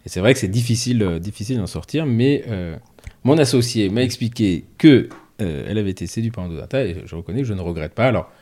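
A man's voice: lowish voice, animated, fast talker, darkish tone. A man hosting a casual, conversational interview with his guests.

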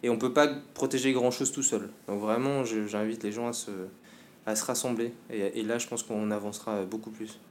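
A man's voice: fairly low pitch, steady tone, a little fast, darkish tone, a little hiss or hum. A man, a podcast guest, speaking earnestly while searching for his words. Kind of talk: casual conversation.

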